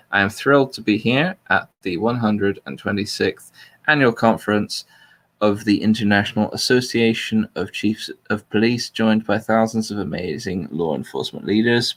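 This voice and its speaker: A man hosting a casual talk, chatting and reading text aloud in a dry, informal way. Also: lowish voice. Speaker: talk show host reading out a transcript. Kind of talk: casual chat and deliberately flat reading aloud.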